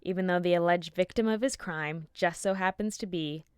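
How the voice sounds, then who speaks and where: A woman's voice: lowish voice, moderate pace, a little mellow, clear, resonant tone, no room sound, clean studio sound. A woman reporter delivering scripted news narration.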